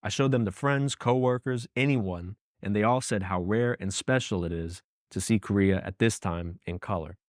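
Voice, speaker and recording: A man's voice: on the low side, moderate pace, hardly any pauses, dry recording, clean studio sound. A young man from the United States narrating a scripted voice-over as an archive founder and host, earnest and conversational with casual asides.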